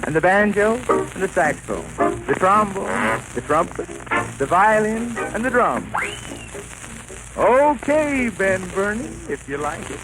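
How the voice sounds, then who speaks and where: High-voiced man doing 1930s novelty band patter. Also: very animated, takes it slowly, over loud music, poor audio. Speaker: bandleader performing a musical novelty number. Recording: old 1930s radio broadcast with band. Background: loud dance band instruments.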